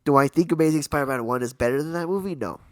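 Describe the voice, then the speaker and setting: A young man co-hosting a podcast, with a hoarse, raspy voice, chatting casually through a film review with quick asides. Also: on the high side, no room sound, studio quality. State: hoarse from illness. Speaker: podcast co-host.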